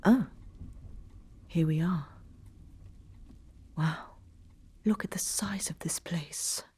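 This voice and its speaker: A woman playing a guarded, caring doctor in an audio drama, breathy and hushed, gentle but firm. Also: lowish voice, extremely slow, heavily acted. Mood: guarded, polite. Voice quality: breathy, husky.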